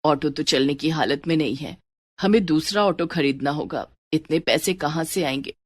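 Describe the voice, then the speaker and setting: A woman's voice: low-pitched, moderate pace, a little mellow, voice pushed down. A woman voicing a worried middle-aged mother and wife in a family story, anxious and weary.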